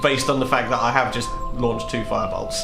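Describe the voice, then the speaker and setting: A man's voice: medium pitch, fairly even intonation, a little fast, vocal fry, echoey room, music in the background. A man playing a tabletop game, casually improvising and narrating what his character does.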